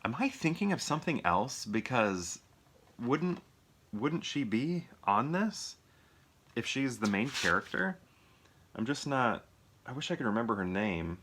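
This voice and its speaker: A man's voice: mid-range voice, very animated, measured pace, fairly bright tone. A man chatting casually and off the cuff to viewers as a movie reviewer, rambling and thinking aloud as he questions himself. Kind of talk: casual impromptu chat to viewers.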